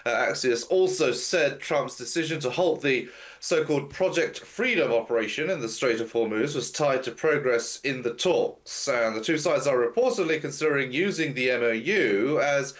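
A man with a blocked nose briefing market and world news over a video call, steady with frequent fillers. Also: mid-range voice, normal speed. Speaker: news commentator.

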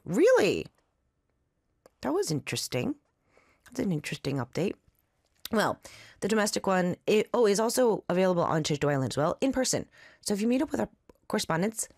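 A woman hosting a radio show, chatting and reading announcements in a raspy, slightly nasal voice after an allergy attack. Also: mid-range voice, no room sound, clean studio sound. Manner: chatty, loose and lively, with fillers. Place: radio studio. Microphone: studio microphone.

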